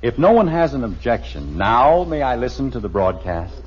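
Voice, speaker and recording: An actor playing a flustered, sardonic middle-aged American father in an old radio sitcom, pleading for peace and quiet. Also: mid-range voice, big pitch swings, moderate pace, breathy edge. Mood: exasperated, firm. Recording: muffled, noisy vintage radio recording.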